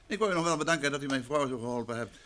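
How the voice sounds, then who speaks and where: A man's voice: mid-range voice, racing, fairly bright tone, close to an everyday voice. A man acting in a vintage radio drama as a shrewd, courteous married detective, speaking with composure. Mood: polite, inquiring. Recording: old radio recording with background noise.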